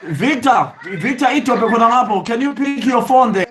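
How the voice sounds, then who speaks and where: A man's voice: extremely high pitch, expressive, normal speed, a bit bright, a little hiss or hum. A man from Zimbabwe hosting a casual live stream, greeting viewers by name and switching between English and Ndebele. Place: away from home.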